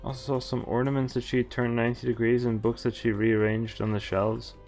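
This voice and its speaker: A man's voice: mid-range voice, level tone, fairly quick, a little mellow. A man streaming a visual novel, reading the narration aloud casually, with stumbles and asides. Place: at home, streaming. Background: faint game music.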